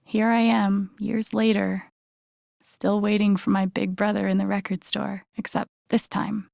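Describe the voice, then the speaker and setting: A voice actress playing a wry, warm-hearted young woman who mutters her thoughts to herself in a naturalistic, understated video game style. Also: medium pitch, little pitch movement, unhurried, creaky, no echo. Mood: tender, wistful. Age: young adult. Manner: dry, understated, self-talking wit.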